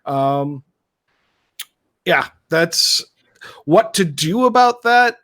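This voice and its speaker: Man, fairly high voice, casual guild leader, rambling with fillers. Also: animated, drawn-out words, fairly bright tone. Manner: joking, rambling, many fillers. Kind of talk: casual panel discussion.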